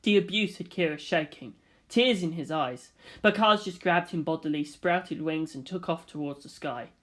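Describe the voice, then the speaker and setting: A narrator reading a fantasy story aloud in a scripted storytelling style, at a medium pitch. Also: normal speed, fairly bright tone. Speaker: audiobook narrator.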